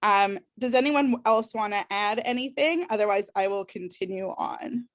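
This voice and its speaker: A woman's voice: medium pitch, normal speed, no echo, studio quality. A woman facilitating a discussion workshop, explaining ideas thoughtfully with frequent ums and restarts.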